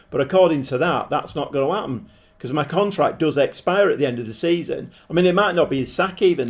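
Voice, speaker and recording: Croaky man streaming a game. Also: medium pitch. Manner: chatty, thinking aloud, many restarts. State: hoarse, croaky voice. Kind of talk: casual live-stream commentary. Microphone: desk microphone.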